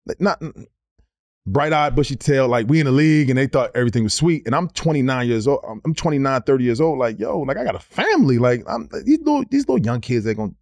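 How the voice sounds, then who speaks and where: A man in his thirties, a former pro basketball player, telling stories on a podcast in a casual, animated way, repeating words as he goes. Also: mid-range voice, fast talker, dry recording, clean studio sound. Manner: animated storyteller, slips into quoted voices, repeats words. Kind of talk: casual storytelling.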